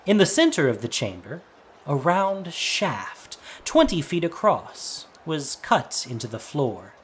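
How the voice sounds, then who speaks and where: A man's voice: on the high side, big pitch swings, slow, a little hiss or hum. A man narrating a scripted story as a dramatic storyteller.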